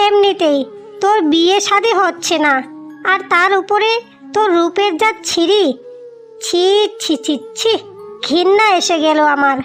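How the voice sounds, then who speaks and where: Lady doing a mean village sister-in-law for a cartoon, really high voice, scolding and sarcastic, music behind. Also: fairly bright tone. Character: scornful, bullying village sister-in-law, married woman. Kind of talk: dramatic cartoon voice acting.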